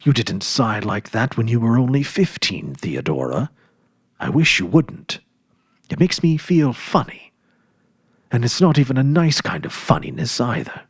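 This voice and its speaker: A man's voice: medium pitch, big pitch swings, a little slow, breathy edge, dry recording, clean studio sound. A man voicing a confident, eager ten-year-old farm boy in a storybook, earnest and emphatic, with a boastful know-it-all manner. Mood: earnest, curious.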